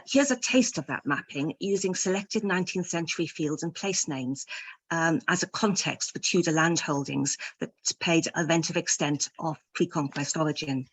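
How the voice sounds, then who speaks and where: A woman gives a conference talk from a prepared script, her delivery measured and careful. She stops now and then to restart a word. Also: fairly low pitch. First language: not Welsh. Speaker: conference speaker.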